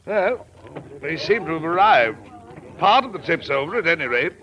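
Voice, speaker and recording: A man playing a polite, trusting gentleman with formal, precise speech in a vintage radio drama. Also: on the high side, sing-song, moderate pace. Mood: composed. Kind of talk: 1940s-50s radio drama acting. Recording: muffled, hissy vintage radio recording.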